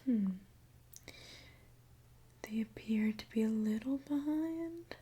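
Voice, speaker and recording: A woman softly whispering an ASMR roleplay as a mechanic, gently explaining each step to the listener. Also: a little high, slight background noise. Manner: soft, gentle whispering, unhurried step-by-step narration.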